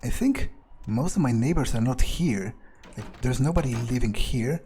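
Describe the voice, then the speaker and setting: A man's voice: medium pitch, animated, moderate pace, mellow, natural voice. A man playing a wary, foul-mouthed vampire in a game playthrough, thinking aloud in a hesitant mutter. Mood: tense muttering. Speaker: let's player. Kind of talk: in-character gameplay commentary, thinking aloud. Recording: noticeable background noise.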